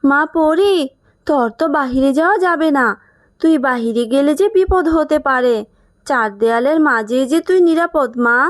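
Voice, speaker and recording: A woman's voice: sits high, lively intonation, normal speed, dry recording, studio quality. A woman voicing a protective, scolding mother fox in a children's cartoon, with an anxious motherly manner. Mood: worried, pleading. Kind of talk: cartoon character acting.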